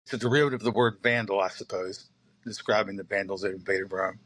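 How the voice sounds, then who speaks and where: A man in his sixties from southern West Virginia, a poet being interviewed, telling stories in an unhurried, reflective way with many pauses and fillers. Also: lowish voice, fairly even intonation, fairly bright tone, no room sound, rough recording. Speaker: poet and photographer guest. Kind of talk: relaxed interview talk.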